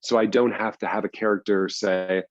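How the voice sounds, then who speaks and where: Low-voiced man explaining in an interview, muffled. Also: steady tone, normal speed, no echo. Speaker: guest, screenwriter and audio drama producer. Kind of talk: conversational interview answers, explanatory anecdotes.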